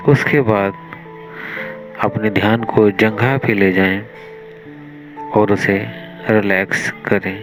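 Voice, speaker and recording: Meditation guide, male, Indian accent. Low register, very slow delivery with long pauses, over music. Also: steady tone, low-quality recording. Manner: measured, instructional, with long pauses between short phrases.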